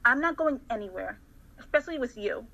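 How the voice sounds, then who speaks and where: A woman's voice: fairly high pitch, lively intonation, a little fast, gravelly, bright, forceful tone, muffled recording, exaggerated voice. A woman playing a seventeen-year-old girl who is suspicious and defiant toward a stranger, in an amateur radio drama.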